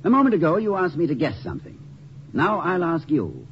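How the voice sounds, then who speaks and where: An actor playing an erudite, dignified college president with wry, formal eloquence in a 1950s radio comedy-drama. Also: male voice, fairly high pitch, huge pitch swings, brisk. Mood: wry, defiant. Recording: muffled, noisy old 1950 radio recording.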